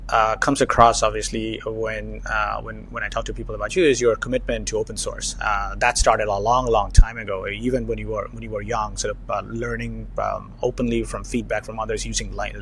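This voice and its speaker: Interview host, low gravelly man, halting, faint noise behind. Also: fairly even intonation, fairly bright tone. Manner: hesitant, many fillers and restarts. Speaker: podcast host, investor. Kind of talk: interview questions, conversational.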